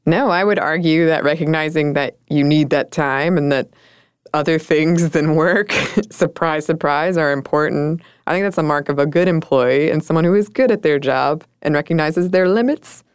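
A woman co-hosting a conversational podcast, talking through the news with a chatty, thoughtful manner and frequent laughs. Her voice is smooth and resonant and sits a little low. The sound is close and dry with no room at all. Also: normal speed, dry recording. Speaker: podcast co-host.

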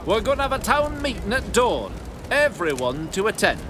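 Man playing a lively, pompous small-town mayor. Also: sits high, normal speed, a crowd in the background. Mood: placating, officious. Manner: folksy, pompous, placating. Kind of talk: audio fiction drama acting.

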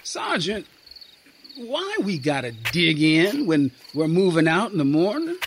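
A man's voice: fairly high pitch, huge pitch swings, measured pace, a little hiss or hum, pitched above the performer's own voice, exaggerated voice. A man voicing a young male soldier who grumbles about his orders in a whiny drawl. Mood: complaining.

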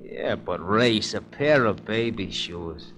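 A wisecracking, slangy American sidekick. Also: male voice, on the low side, expressive, takes it slowly, fairly bright tone. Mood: skeptical, joking. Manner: slangy, colloquial, sardonic. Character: wisecracking, streetwise male cabbie sidekick, skeptical and loyal. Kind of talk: 1940s radio detective drama acting.

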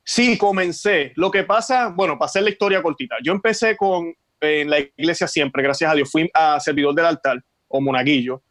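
A man's voice: fairly high pitch, moderate pace, few pauses, a bit bright, no echo. Puerto Rican man in his forties, dry close sound. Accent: Puerto Rican Spanish. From Puerto Rico. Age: 40s. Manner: earnest, storytelling, many fillers. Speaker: guest. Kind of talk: casual conversation.

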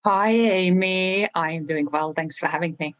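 A woman in her forties with an Estonian accent and a low voice talks as a podcast guest. She answers earnestly and thoughtfully, and she often restarts her sentences as she goes. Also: normal speed, highs cut off. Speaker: guest, real estate trainer. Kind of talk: podcast interview conversation.

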